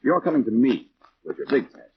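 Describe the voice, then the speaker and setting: Wisecracking detective for old radio drama, breezy and assured, deep-ish, on a dull, noisy vintage recording. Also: male voice, animated, a little fast, vocal fry. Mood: assured, needling. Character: confident wisecracking male amateur detective laying out a case. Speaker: radio actor.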